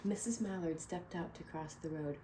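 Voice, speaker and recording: A woman reading a picture book aloud to children, pausing to ask them questions. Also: lowish voice, slight background noise. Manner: gentle, asks children questions. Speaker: read-aloud storyteller.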